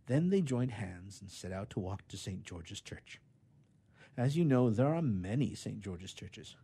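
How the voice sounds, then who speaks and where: A man narrates a children's story with plenty of expression. His pitch moves widely from phrase to phrase. The voice has a husky, breathy edge. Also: dark tone.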